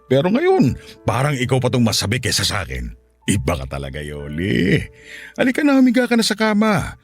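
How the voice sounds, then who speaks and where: An actor playing a lustful, coaxing middle-aged Filipino widower in a Tagalog radio drama. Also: male voice, medium pitch, wildly swooping pitch, brisk, breathy edge, faint music behind, exaggerated voice. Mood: boastful, eager. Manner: suggestive, coaxing, chuckling seducer.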